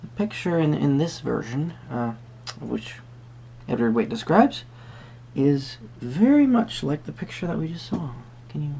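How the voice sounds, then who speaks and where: A creaky, gravel-edged voice at a middling pitch gives a roleplay tarot reading. The delivery is hesitant and musing, with wordy, formal phrasing, over noticeable background noise. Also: expressive, a little slow, warm tone. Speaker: tarot reader host. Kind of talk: soft-spoken roleplay tarot reading addressed to the viewer.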